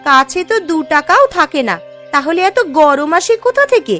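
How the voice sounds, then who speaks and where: Woman voicing a shrill, boastful village housewife. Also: animated, moderate pace, pushed and bright, soft background music. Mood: snide, taunting. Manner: taunting, boastful. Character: snide, boastful, nosy young village housewife. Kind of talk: cartoon story dialogue.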